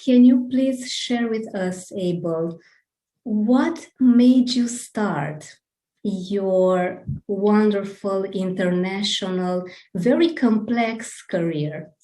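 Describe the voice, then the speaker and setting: A woman hosting a podcast over a video call, reading a guest introduction and asking questions in a deliberate, earnest way. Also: mid-range voice, mellow, reverberant, poor audio. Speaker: podcast host. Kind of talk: scripted introduction and interview questions.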